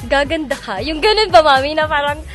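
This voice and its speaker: Young Filipina guest DJ, high register, chatty and courteous, over a music bed. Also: female voice, expressive, a little fast. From Philippines, Cagayan province. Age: young adult. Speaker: guest DJ on campus radio. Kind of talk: casual radio chat. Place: radio studio.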